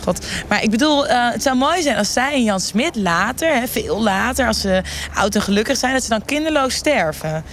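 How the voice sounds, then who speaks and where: A woman's voice: fairly high pitch, animated, normal speed. A woman playing a self-absorbed, shallow trendwatcher who chatters in trendy slang and trails off, in a satirical radio street interview. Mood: gushing, defensive. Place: outdoors on a shopping street. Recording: outdoor street noise.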